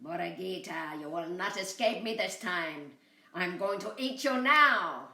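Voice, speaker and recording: A woman's voice: lowish voice, lively intonation, a little slow, vocal fry, bright, forceful tone, reverberant, heavily acted. A woman voicing a hungry, menacing but gullible male coyote with a growling, blustering manner for a children's storybook. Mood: threatening.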